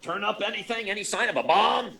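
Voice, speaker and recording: An actor playing a harried, gruff newspaper editor in a 1940s-style radio serial, stammering and barking clipped orders, recorded at a distance. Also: male voice, high-pitched, fast, sharp tone, exaggerated voice. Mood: anxious, flustered. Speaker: actor in a live radio play. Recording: distant, far from the mic.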